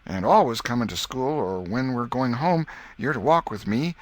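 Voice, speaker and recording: A man's voice: mid-range voice, animated, moderate pace, no room sound, voice pushed up, natural voice. A man voicing an eager, lovestruck American schoolboy who speaks in earnest, rustic, boyish phrases in an audiobook. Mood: eager, insistent. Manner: earnest, rustic nineteenth-century boyish speech. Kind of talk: audiobook character reading.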